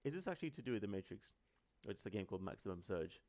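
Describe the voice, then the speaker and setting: A man's voice: lowish voice, big pitch swings, very fast, a little mellow. A man giving casual, off-the-cuff reaction commentary as a host, chatty and apologetic, speaking straight to his viewers.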